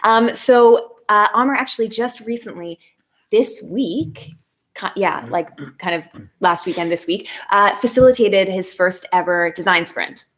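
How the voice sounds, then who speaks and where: A woman's voice: mid-range voice, measured pace, a bit bright. A young woman from Canada hosting a casual live stream chat, giggling and filling her talk with ums and likes. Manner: giggly, chatty, many fillers. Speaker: host, media lead.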